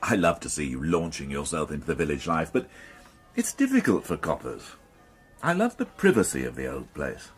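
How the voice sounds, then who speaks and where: An actor playing a gloomy, middle-aged, dry-witted police inspector in a naturalistic radio drama. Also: male voice, extremely low pitch, sing-song, moderate pace, breathy edge, soft background music, heavily acted. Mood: grumbling, wry. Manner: grumbling, understated, dryly sardonic.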